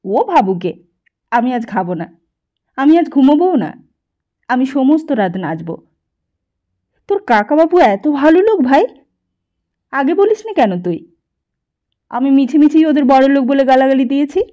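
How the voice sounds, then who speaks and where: A woman's voice: sits high, big pitch swings, unhurried, pauses often, dark tone, dull audio, pitched above the performer's own voice. A woman voicing a wildly overjoyed, impulsive boy in an audiobook, exclaiming eagerly. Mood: defiant glee, then excited, regretful. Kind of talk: audiobook character dialogue.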